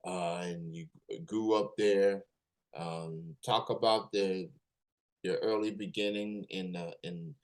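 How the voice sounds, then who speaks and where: A man hosting an interview show, greeting his guest in an upbeat, casual way with plenty of filler words. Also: deep voice. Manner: upbeat, filler-heavy questions. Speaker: podcast host.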